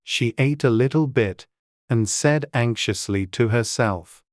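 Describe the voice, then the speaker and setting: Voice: medium pitch, a little slow, dry recording, clean studio sound. A narrator reading a children's storybook as a scripted audiobook.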